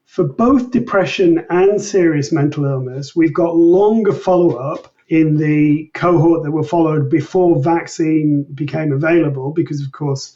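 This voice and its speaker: Guy researcher explaining study results step by step, mellow, bit high pitched, kinda muffled recording. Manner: measured, methodical explaining. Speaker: research study author, interview guest. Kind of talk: explaining research findings in an interview. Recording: distant mic, dull lo-fi sound.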